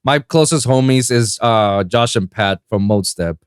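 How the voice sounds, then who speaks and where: A man's voice: medium pitch, measured pace, clear, resonant tone, dry recording, studio quality. A man from Puerto Rico, a native Spanish speaker with a Spanish accent, hosting a podcast and telling stories animatedly with lots of restarts. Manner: animated storyteller with frequent restarts. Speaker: podcast host. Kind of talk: casual chat.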